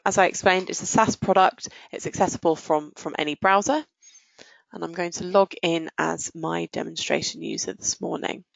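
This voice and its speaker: Low-voiced woman giving a software demo. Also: creaky, no room sound, highs cut off. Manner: explanatory, step-by-step, informal business tone. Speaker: software demonstrator. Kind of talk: live product demo walkthrough.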